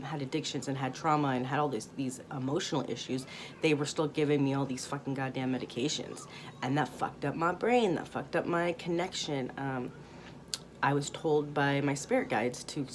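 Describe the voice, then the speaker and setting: A woman in her late thirties talking candidly in a rambling spiritual vlog monologue, with many pauses and fillers and some swearing. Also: extremely low pitch, slight background noise. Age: 30s. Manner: rambling, candid, frequent ums and profanity. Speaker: spiritual vlogger.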